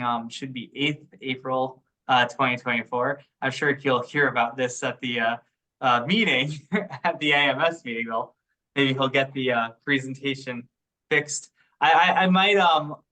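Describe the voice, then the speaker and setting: A man with a sharp, bright voice walks listeners through technical research on a teleconference. His delivery is informal and explanatory, with halting moments as he thinks aloud. The pitch sits in an ordinary male range. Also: rough recording. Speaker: presenter summarizing research. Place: online teleconference.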